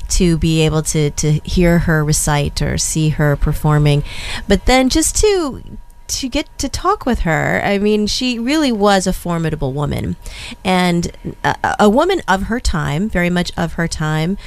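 A woman's voice: on the low side, animated, a little slow. A woman hosting an interview, talking in a chatty, enthusiastic way full of "you know" fillers. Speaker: interview host. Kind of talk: conversational interview.

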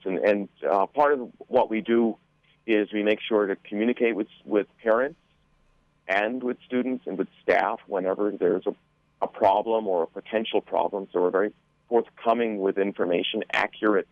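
A man speaking as a school board member in a radio interview, explaining carefully in a halting way and often repeating words. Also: low-pitched, no echo. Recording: muffled narrow phone-like line.

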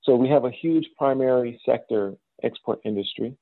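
A middle-aged American man from Chicago giving explanatory, measured answers as an interview guest, often dropping in "you know". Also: medium pitch, creaky, no echo, phone-quality sound. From south side of Chicago, United States. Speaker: interview guest, business school dean.

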